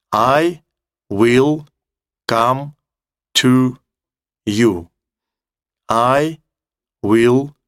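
A Russian-speaking man teaching English grammar, explaining with emphatic repetition and slowing down for English examples in a Russian accent. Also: mid-range voice, no echo, studio quality. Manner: emphatic, repeats key words, slows down for English examples.

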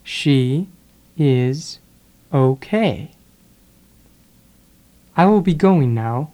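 A speaker drilling English phrases for learners, saying each sentence and then repeating it one word at a time with pauses. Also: mid-range voice, expressive, warm tone. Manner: deliberate, pausing after each word. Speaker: English teacher.

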